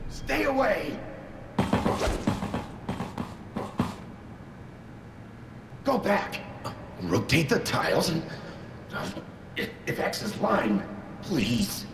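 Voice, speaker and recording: Scared game character, muttering, halting, slow, extremely variable pitch, echoey space. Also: male voice, wildly swooping pitch, full character voice. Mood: panicked. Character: frightened, muttering man fixated on puzzles. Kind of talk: animated video game cutscene acting. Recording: echoey with clear background noise.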